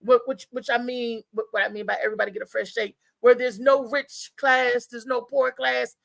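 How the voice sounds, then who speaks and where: A woman hosting a live talk show with casual, conversational commentary, often halting and repeating words as she goes. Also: a little high, flat delivery, creaky, a bit bright, dry recording.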